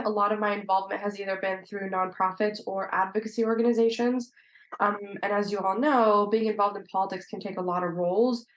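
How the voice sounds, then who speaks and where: A woman giving conversational interview answers as a legislator guest, explaining things in an articulate way. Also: medium pitch. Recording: roomy, echoey sound.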